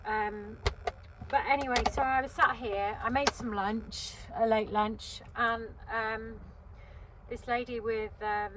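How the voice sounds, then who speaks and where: A woman's voice: a little high, fairly even intonation, takes it slowly. Chatty woman in her fifties, wind outside. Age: 50s. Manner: chatty, meandering, many ums and restarts. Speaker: vlogger. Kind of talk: casual rambling chat to camera. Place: inside a parked van by a river on a windy day. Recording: wind noise in a parked van. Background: gusting wind outside.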